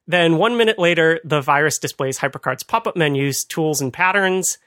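Higher-pitched man, dry close sound. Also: normal speed, fairly bright tone, no room sound. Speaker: podcast host. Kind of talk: conversational retro computing commentary.

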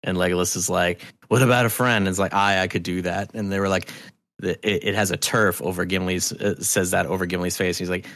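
A man talking casually as a podcast guest, telling anecdotes in an informal, halting way. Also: low-pitched, fairly even intonation, fairly bright tone, no room sound. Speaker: podcast guest, audio drama creator. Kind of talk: casual conversational storytelling.

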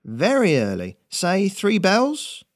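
A man's voice: sits high, huge pitch swings, slow, no room sound, studio quality, voice pushed up, heavily acted. A man voicing a scrappy young boatman's son with a pushy, eager sales patter. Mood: eager hustling. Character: young male boat kid, scrappy, eager hustler.

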